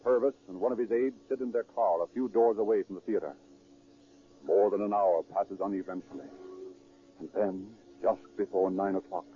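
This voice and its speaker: A man's voice: fairly low pitch, moderate pace. A man narrating a true crime story in dramatic, scripted 1930s radio style. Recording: thin, tinny 1930s radio recording.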